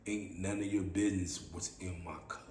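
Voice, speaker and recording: Raspy-voiced man podcasting in an echoey room. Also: sits low, moderate pace. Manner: laid-back, playful, repeats phrases with slangy asides. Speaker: podcast host. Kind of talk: casual solo podcast monologue. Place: small room indoors, seated. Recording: echoey room with steady background hiss.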